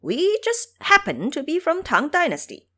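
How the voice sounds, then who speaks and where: Cocky, teasing male monkey monk played by a woman, storybook adventure, lifted pitch, lively swoops. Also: moderate pace, exaggerated voice. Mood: cheeky. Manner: boastful, teasing. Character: brash, cocky male monkey-monk trickster, fearless and playful. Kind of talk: audio drama storytelling.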